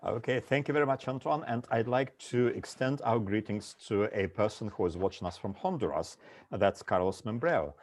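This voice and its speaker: A man's voice: lowish voice, expressive, normal speed. A man moderating an online panel discussion over a video call, reading out lists of places with frequent "uh" fillers.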